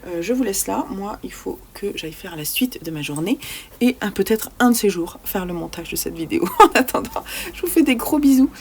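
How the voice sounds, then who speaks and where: A woman's voice: medium pitch, animated, brisk, a little hiss or hum. A woman vlogging casually about the books she has read, talking freely and often searching for her words with fillers and restarts. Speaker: book vlogger. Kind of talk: casual book review chat.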